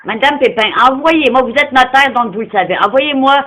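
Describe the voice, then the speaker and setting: A woman with a fairly high voice speaks Quebec French as a non-native speaker. She is confrontational and insistent in a phone argument, pressing her points and interrupting. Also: fairly quick, over a noisy crowd. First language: not French, non-francophone. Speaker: caller confronting someone over animal welfare.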